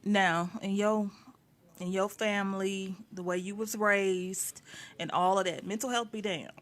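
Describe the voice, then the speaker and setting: A woman's voice: mid-range voice, steady tone, measured pace, fairly bright tone. A woman co-hosting a casual podcast, talking frankly and leading the questions. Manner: frank, conversational, leads the questions. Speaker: podcast co-host.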